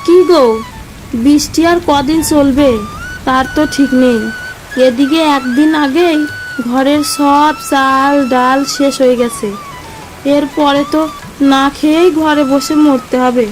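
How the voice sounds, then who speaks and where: A woman's voice: sits high, steady tone, takes it slowly, rough recording. A woman playing a worried, complaining village boatman's wife in a cartoon ghost story, with a plaintive, nagging manner. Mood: worried complaint. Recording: music behind the voice.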